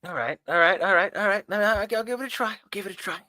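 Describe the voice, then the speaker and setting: A man's voice: high-pitched, racing, sharp tone, no room sound, voice pushed up. A man voicing a nervous, eager biker vampire who stammers and repeats himself in a tabletop game.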